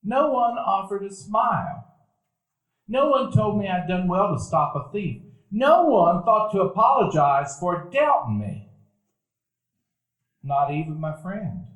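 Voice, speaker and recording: An actor narrating a radio play as a grown man recalling his boyhood, in a measured, reflective storytelling manner. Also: high voice, very animated, a bit bright, heavily acted. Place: dinner theater stage before a live audience. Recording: echoey live stage recording.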